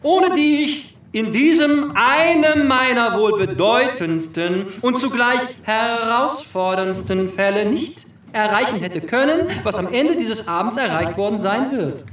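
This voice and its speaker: A man's voice: very high-pitched, animated, measured pace, few pauses, a bit bright, slight background noise, exaggerated voice. A man playing a pompous, long-winded detective who ceremoniously unveils a murderer to the suspects in a theatrical comedy. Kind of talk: theatrical comic monologue before an audience. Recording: echoey hall, distant microphone.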